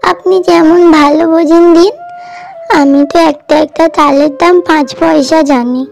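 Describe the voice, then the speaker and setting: A tiny, very high-pitched cartoon little girl from a poor village. She is eager and pleading in a childlike way, with music playing behind her. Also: steady tone, normal speed, gravelly, darkish tone. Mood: polite. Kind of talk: cartoon voice acting.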